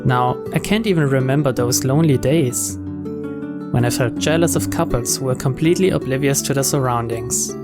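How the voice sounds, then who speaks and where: A man with a medium-pitched voice reads story narration from a visual novel as host of a let's play. Game music is clearly heard behind him. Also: normal speed.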